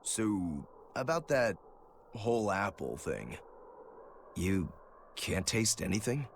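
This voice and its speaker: A man's voice: fairly low pitch, very animated, slow pace, creaky, slight background noise, heavily acted. An actor playing an easygoing, teasing male demon swordsman who likes his drink, in a video game. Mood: curious. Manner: wry, teasing, laid-back. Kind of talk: English video game voice acting.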